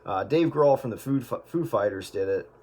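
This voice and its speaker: A man chatting casually on a radio talk show, telling an anecdote in a relaxed, conversational way. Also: medium pitch, moderate pace, very noisy. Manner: anecdotal storyteller.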